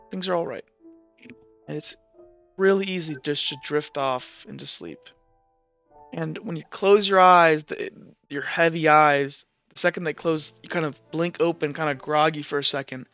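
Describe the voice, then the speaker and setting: A man narrating as game master, halting often with fillers and restarts. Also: fairly high pitch, sharp tone, clean tone. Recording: dull lo-fi sound with faint music.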